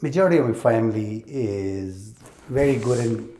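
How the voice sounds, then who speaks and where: A man's voice: fairly low pitch, normal speed, dark tone, slight background noise. A middle-aged man from Pakistan, an interview guest telling his story candidly, hesitant with many fillers. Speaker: restaurant franchise owner, guest.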